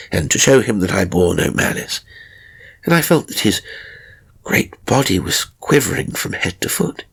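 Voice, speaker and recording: A man voicing a timid, anxious novelist who recounts a haunting in a measured, theatrical horror audiobook style, with a gravelly, husky voice. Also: fairly low pitch, fairly bright tone, full character voice. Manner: measured, theatrical, hesitant pauses. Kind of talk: dramatic first-person horror audiobook narration.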